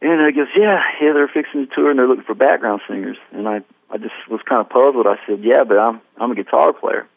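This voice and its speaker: A man's voice: medium pitch, fast, vocal fry, few pauses, no echo. A man tells a long story on a tinny phone line, rambling and self-deprecating. He acts out what people said along the way. The sound is dry and close. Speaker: guest musician. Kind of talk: casual storytelling interview.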